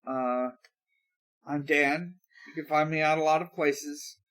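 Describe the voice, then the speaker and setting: Slow-paced man hosting a casual podcast. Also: on the high side, steady tone, fairly bright tone. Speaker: podcast host.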